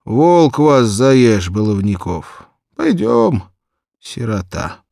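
Storybook role: old tipsy peasant cobbler, acted by a male reader, grumbling yet tender, gravelly, wildly swinging pitch. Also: big pitch swings, very slow, mellow, dry recording, clean studio sound, heavily acted. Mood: fond grumbling. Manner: cooing baby talk, exclamatory, swooping. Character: tipsy old village cobbler, gruff but tenderly fond.

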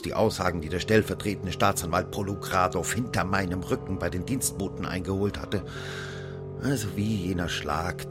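An actor narrating in the first person as a former investigating judge in a radio drama, measured and sober. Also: male voice, low voice. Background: music underneath.

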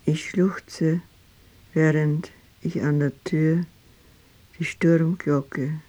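An actress playing a grieving grown daughter in a literary radio play, reciting her memories in halting, deliberate phrases. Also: low-pitched, steady tone. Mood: stark, shaken. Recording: loud strings and brass over voice.

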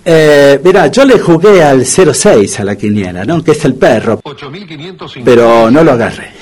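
A man's voice: mid-range voice, animated, normal speed, a little mellow, natural voice. An actor playing a grumpy, anxious older man from Buenos Aires in a comedic radio play, hesitant and prone to stammering complaints. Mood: grumbling. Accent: Argentine, Buenos Aires. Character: grumpy, anxious older Argentine man, bumbling dog owner.

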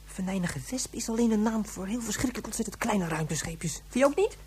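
Husky female radio-play voice. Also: medium pitch, lively intonation, fairly quick, a little mellow, faint music behind. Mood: playful. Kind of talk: radio drama acting.

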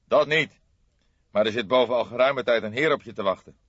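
A man's voice: medium pitch, fairly quick, no room sound, highs cut off, pitched above the performer's own voice, natural voice. Man doing a peevish, raised-voice desk sergeant. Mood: grudging, informative. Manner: peevish, loud, emphatic. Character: grumpy, reproachful male police desk sergeant.

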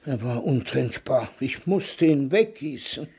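A man's voice: medium pitch, expressive, measured pace, mellow, slightly rough. An older man acting a grumbling, teasing, hard-of-hearing man in his nineties in a radio play. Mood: gloomy.

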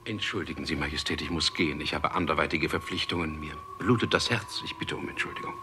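An actor playing a formal, evasive court doctor in a 1960s German radio play, with a clipped, repetitive delivery. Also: male voice, a bass voice, quick pace, sharp tone. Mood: evasive, polite. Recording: noisy old radio recording.

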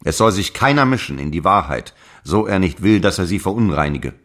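An actor playing Paul the apostle, a stern zealot preaching in solemn, archaic radio drama language. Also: male voice, sits low, lively intonation, a little fast, fairly bright tone, dry recording, studio quality. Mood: solemn, admonishing. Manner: grave, preaching, declamatory.

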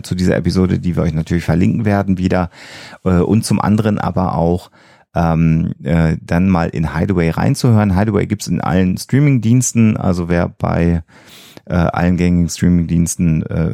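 A man with a low, gravelly voice chats casually as a podcast co-host. His tone is mellow, and he pauses often to find his phrasing. The sound is close and dry. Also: no room sound.

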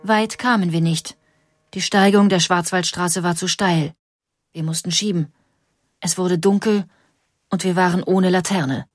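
A woman reading a woman's own first-person account of a long journey aloud, in measured storytelling. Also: fairly low pitch, steady tone, dry recording. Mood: wistful. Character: woman recalling a long early motorcar journey. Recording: music bed behind voice.

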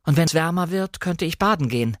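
A man's voice: fairly high pitch, animated, fairly quick, a bit bright, dry recording, studio quality, voice pushed up. A man voicing a timid, polite young wife who is eager to please, in a dramatic novel reading.

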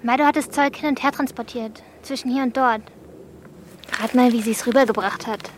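A woman's voice: fairly high pitch, steady tone, normal speed, noisy background, natural voice. A woman playing a small, childlike thirteen-year-old girl, anxious yet stubborn, in a radio play. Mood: defiant. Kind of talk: German radio play acting.

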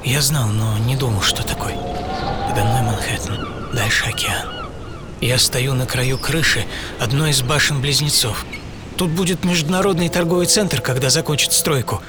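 An actor playing a daring, exhilarated 25-year-old tightrope walker in a theatrical first-person radio monologue, moving between hushed pauses and fervent intensity. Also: male voice, mid-range voice, huge pitch swings. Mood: hushed wonder, then tense recollection. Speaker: actor and author of the programme. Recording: studio voice under loud music. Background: loud dramatic music.

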